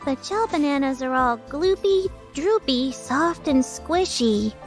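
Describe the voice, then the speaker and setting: A woman's voice: high-pitched, lively intonation, takes it slowly, faint music behind. A woman voicing a sweet, naive, childlike teenage anime girl in a visual novel fan dub, with a cutesy, innocent way of talking. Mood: innocent, reluctant.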